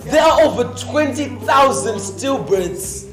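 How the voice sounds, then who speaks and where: A woman performing a theatrical spoken-word monologue as a solemn, impassioned narrator, with deliberate dramatic phrasing. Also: sits high, expressive. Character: solemn, impassioned young woman narrator decrying youth suffering. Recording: echoey stage hall, music underneath. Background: music.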